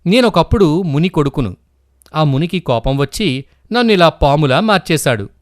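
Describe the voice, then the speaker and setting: A man's voice: a little high, animated, moderate pace, no room sound, clean studio sound, lightly acted. A man voicing a weak, hungry, cunning snake in a children's story, coaxing and pleading.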